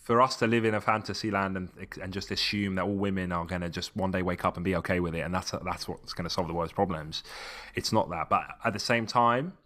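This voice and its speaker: A man from Tunisia co-hosting a podcast, talking in an animated, emphatic way and often restarting his phrases. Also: low voice, a little fast. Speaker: podcast co-host. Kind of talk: casual podcast chat.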